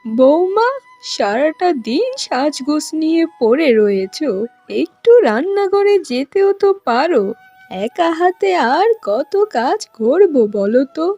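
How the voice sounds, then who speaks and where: A woman voicing a fretful, pleading older mother-in-law from a village in a cartoon, with plaintive, drawn-out exclamations. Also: sits high, sing-song, mellow, no room sound, dull audio, faint music behind. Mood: complaining. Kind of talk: cartoon voice acting.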